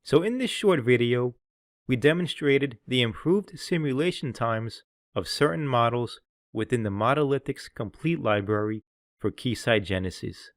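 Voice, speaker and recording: A man reads scripted instructional narration as the presenter of a technical tutorial. His pitch sits in the middle of the male range, and he explains things in an orderly way. The sound is dry, with no room echo. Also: lively intonation, normal speed.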